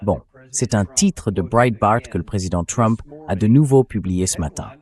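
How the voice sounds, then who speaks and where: A man's voice: fairly low pitch, normal speed, a little mellow. A man hosting a political interview, speaking in a dubbed news commentary style. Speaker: interview host.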